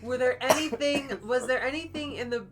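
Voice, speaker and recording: A woman's voice: high voice, a little fast. A young woman chatting casually as a livestream guest, playful and teasing in manner.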